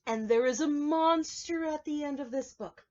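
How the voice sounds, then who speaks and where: Fretful furry blue monster, male, high and pleading; a woman acts it out as she reads. Muffled, lo-fi sound. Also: a little mellow, close to an everyday voice. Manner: high, frantic, pleading, drawn-out. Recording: dull lo-fi recording.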